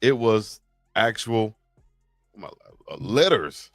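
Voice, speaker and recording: Low, dry-voiced laid-back man. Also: animated, drawn-out words, no room sound, faint music behind. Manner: laid-back storytelling with frequent fillers. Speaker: co-host. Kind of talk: casual sports chat. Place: Hawaii, at home on a live stream.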